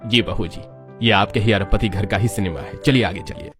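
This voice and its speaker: Palace man voiced by a man: lowish, formal, respectful, explanatory, cartoon story with music bed. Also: a little fast, fairly bright tone, lightly acted. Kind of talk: Hindi moral-story cartoon dialogue.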